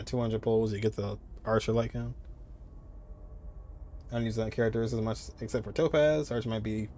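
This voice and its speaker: A man's voice: medium pitch, darkish tone, a little hiss or hum. A man live-streaming a game, chatting casually and rambling as he answers viewers, often repeating his phrases.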